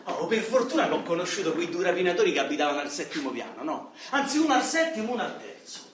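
A man's voice: high-pitched, fast. An actor playing a middle-aged Roman ex-robber, a boastful and lonely braggart telling his story in theatrical, streetwise radio-drama monologue. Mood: rueful bragging. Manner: animated streetwise storytelling, swinging from bragging to self-pity. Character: boastful, lonely ex-robber nearing fifty, coke-loving braggart. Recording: echoey stage hall, distant mic, faint noise.

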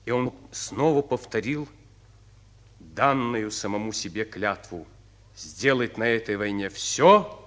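A forceful, low-voiced wartime narrator. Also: male voice, expressive. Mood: solemn. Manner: solemn, deliberate, dramatic storytelling. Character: grave male narrator of a wartime story. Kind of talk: Soviet radio drama narration.

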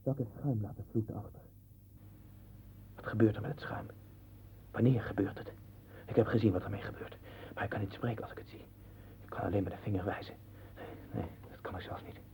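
An actor playing a troubled, obsessively questioning man with a husky, breathy voice in a 1960s radio play. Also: on the low side, fairly quick, pauses often, darkish tone, a little hiss or hum, close to an everyday voice. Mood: hushed, haunted. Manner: insistent, probing questions and fevered monologue. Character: troubled, searching man sinking into obsessive doubt. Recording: dull 1960s radio recording.

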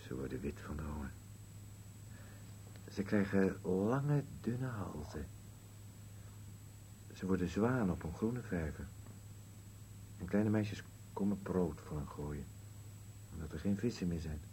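An actor playing a stubborn, bitter old husband in a radio play, with a blunt, contrary, grumbling manner. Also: male voice, deep voice, expressive, measured pace, long pauses, mellow, slight background noise. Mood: bleak, matter-of-fact. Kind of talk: dialogue in an absurdist radio play.

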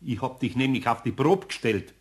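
A man playing an exasperated older master craftsman with a husky voice and a Bavarian accent in a radio play. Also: medium pitch, animated, normal speed, lightly acted. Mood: accusing. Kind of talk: German radio play acting.

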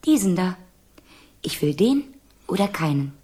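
An actress playing a stubborn, romantic young princess in a radio play, determined and commanding. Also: female voice, on the low side, very animated, unhurried, warm tone, slightly rough, exaggerated voice. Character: headstrong fifteen-year-old princess, stubborn and romantic. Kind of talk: German radio play acting.

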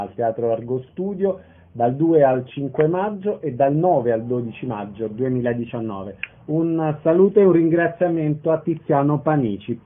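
A man working as a radio host, presenting and interviewing about the arts. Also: on the high side. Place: radio broadcast. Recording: narrow phone-like radio sound.

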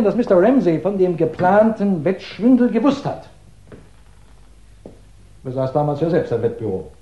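A man's voice: high-pitched, warm tone, muffled recording. An actor playing a methodical, courteous police investigator who lays out his case insistently in a 1960s German radio crime drama. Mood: insistent, assured. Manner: measured, insistent, explanatory, courteous.

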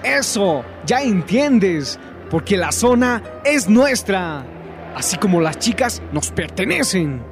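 A man's voice: high-pitched, sing-song, slow pace, soft background music, full character voice. A man with a Bolivian accent playing a cocky, domineering teenage bully in a radio drama, taunting and slangy. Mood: defiant, possessive. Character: cocky, bullying, possessive teenage gang leader.

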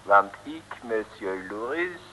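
Flamboyant French barber, swooping pitch. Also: male voice, expressive, slow pace, sharp tone, full character voice. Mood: coolly menacing. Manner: theatrical, broken Dutch peppered with French. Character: flamboyant, menacing French barber, secretly an agent. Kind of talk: 1960s radio serial acting. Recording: reverberant, noisy old radio recording.

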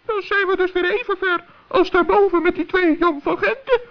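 Little male gnome, extremely high squeaky voice, polite, stammering, anxious, children's radio play. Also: moderate pace. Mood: dismayed. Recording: dull old radio play recording.

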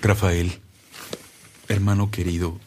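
An actor playing an affectionate, concerned brother in a radio drama, speaking tenderly. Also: male voice, low-pitched, little pitch movement, takes it slowly, noisy background. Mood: tender, apologetic.

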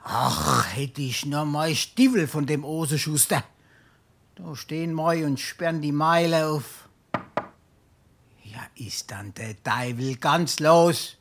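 Slow, theatrical boozy Hessian rogue. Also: male voice, fairly high pitch, gravelly, exaggerated voice. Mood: scheming, wheedling. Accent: Hessian, Darmstadt dialect. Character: roguish, boozy male sponger, theatrical and wheedling. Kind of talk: comic dialect stage acting.

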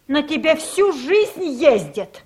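An actress playing a protective, easily indignant elderly wife in an audio play, with an agitated, fussing manner. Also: female voice, high-pitched, very animated, slow pace, full character voice. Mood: anxious, indignant. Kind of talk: Soviet audio play acting.

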